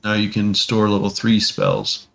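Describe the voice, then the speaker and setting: A man's voice: on the low side, big pitch swings, measured pace, creaky, a bit bright, muffled recording, soft background music. A man co-hosting a podcast, chatting casually about tabletop games in a hesitant, noncommittal way. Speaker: podcast co-host.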